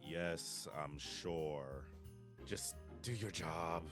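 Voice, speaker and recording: A man's voice: low-pitched, drawn-out words, over music. A man acting a hard-ass, grumpy department store manager at a table read of a comedy script, curt, bossy and exasperated. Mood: flat, irritated.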